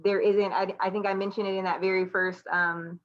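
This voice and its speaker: A woman's voice: mid-range voice, level tone, fairly quick, muffled recording. A woman from the United States talking candidly and conversationally as an educator and panelist in a reflective discussion. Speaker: artist, educator and student panelist.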